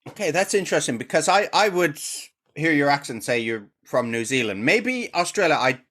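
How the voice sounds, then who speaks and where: A man's voice: on the high side, big pitch swings, moderate pace, fairly bright tone. A man hosting an interview, chatting in a friendly way and asking with tag questions. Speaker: interview host. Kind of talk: conversational interview.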